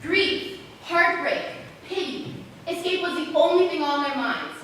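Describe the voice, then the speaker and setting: High-voiced wry, curious girl acted by a woman. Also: a little slow, a bit bright. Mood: reflective. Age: teens or young adult. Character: curious, wry, searching girl who comforts forest visitors. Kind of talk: school stage play acting. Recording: echoey stage from far off, noisy.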